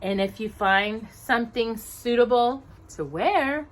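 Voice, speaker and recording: A woman voicing a crafty, controlling stepmother in a storybook, speaking in a cool, deliberate, sly way. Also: on the high side, voice pushed up.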